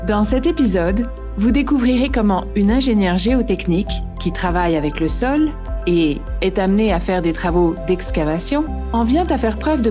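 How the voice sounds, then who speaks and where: A woman hosting a science podcast, reading a scripted introduction in a smooth, measured way. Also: mid-range voice. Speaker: podcast host. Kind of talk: scripted narration.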